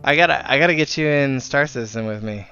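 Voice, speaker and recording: A man's voice: medium pitch, fast talker, pushed and bright. A man chatting casually as he streams a game, sweary and given to exclamations. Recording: slightly dull, lo-fi stream audio. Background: music.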